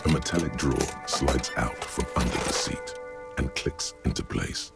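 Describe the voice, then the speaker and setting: Extremely deep, gravelly game narrator. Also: male voice. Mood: brooding. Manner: measured, literary, brooding. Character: solemn, cryptic inner-voice narrator, grave and poetic. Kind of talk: scripted second-person game narration. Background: music.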